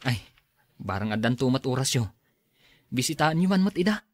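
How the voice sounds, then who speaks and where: A man's voice: medium pitch, big pitch swings, normal speed, no echo, studio quality. A man playing an earnest, respectful and worried grown son in a radio drama. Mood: concerned.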